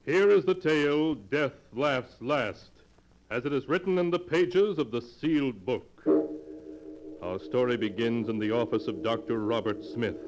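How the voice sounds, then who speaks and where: A man narrating a 1940s radio horror show as announcer, ominous, theatrical and deliberate. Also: mid-range voice. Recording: old radio recording with long reverb.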